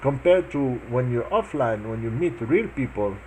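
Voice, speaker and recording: A man's voice: medium pitch, animated, moderate pace, darkish tone, slight background noise, poor audio. A man in his forties from Morocco, earnestly telling in a casual chat how he met his wife, with many restarts and fillers. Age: 40s. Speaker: vlogger answering his wife's questions.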